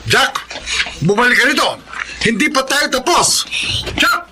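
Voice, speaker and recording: A man's voice: very high-pitched, animated, unhurried, noisy background. A Filipino man playing an authoritative father in a radio drama, emphatic in his delivery. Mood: exasperated. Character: stern father exasperated by his rebellious son.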